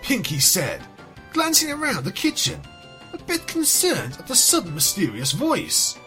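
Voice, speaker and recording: A woman's voice: mid-range voice, huge pitch swings, unhurried, sharp tone. A woman reading fan fiction aloud as a dramatic scripted narration, with a wry, theatrical manner. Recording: music behind the voice.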